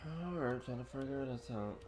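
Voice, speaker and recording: A man's voice: medium pitch, animated, normal speed. A man casually commenting while streaming a game, talking to himself in a laid-back way. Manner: laid-back, talks to himself, hums along. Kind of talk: casual live gameplay commentary. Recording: gameplay stream with faint game music.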